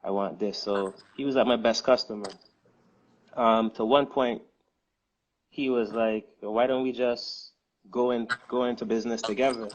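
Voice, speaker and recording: A man answering interview questions in a relaxed, hesitant way, with many fillers and restarts. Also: mid-range voice, moderate pace, highs cut off. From United States. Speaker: guest, music distribution co-founder.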